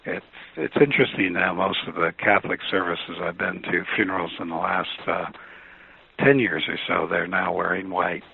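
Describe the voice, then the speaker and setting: Low gravelly guy calling in to a radio show, calm and measured, tinny phone sound. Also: no echo. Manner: calm, measured, with fillers and restarts. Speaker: author and guest. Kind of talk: radio interview conversation. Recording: phone line with faint noise.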